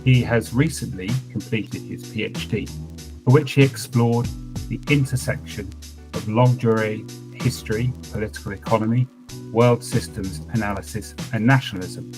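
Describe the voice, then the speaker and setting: Male podcast host, measured scripted delivery, lower register, music bed behind. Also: rough recording. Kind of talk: scripted intro then interview questions.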